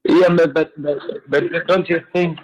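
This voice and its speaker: A man's voice: fairly high pitch, fairly even intonation, unhurried, rough recording. Unsure guy in a debate, short replies, trips over his words. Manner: hesitant, agreeable, short replies. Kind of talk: casual religious debate.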